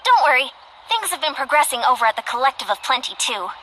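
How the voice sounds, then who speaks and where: A woman's voice: high voice, sing-song, fast talker, background noise. A woman voicing an upbeat, confident, athletic coach in an anime game, breezy and self-assured. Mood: inviting. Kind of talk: anime game character dialogue.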